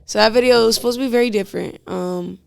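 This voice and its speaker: A woman rapper with a raspy voice, talking casually and with lots of slang in an interview. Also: fairly high pitch, fast, no room sound. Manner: slangy, rambling, laughs often. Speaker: rapper guest.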